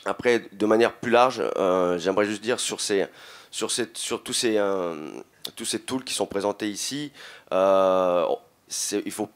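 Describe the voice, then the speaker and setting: Low-voiced man, halting panel speaker. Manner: hesitant, halting delivery with frequent self-repetition. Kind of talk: conference panel talk. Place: indoor conference stage. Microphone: handheld microphone held close.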